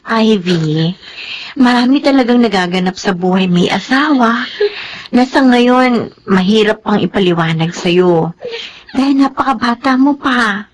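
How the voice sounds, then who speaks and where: A woman's voice: fairly high pitch, animated, a little slow, low-quality recording. A woman playing a devoted, protective nanny with an earnest manner in a Filipino radio drama. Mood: soothing, earnest. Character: devoted adult nanny, gentle, patient, protective.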